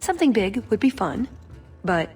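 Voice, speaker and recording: A woman playing a playful, quick-witted young elf adventurer in a scripted fantasy game. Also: mid-range voice, very animated, darkish tone, breathy edge, soft background music. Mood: playful, wry.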